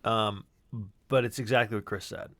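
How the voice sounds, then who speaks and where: Low-voiced man explaining his sound design. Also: steady tone, moderate pace, gravelly, bright, forceful tone. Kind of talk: casual technical explanation of own work.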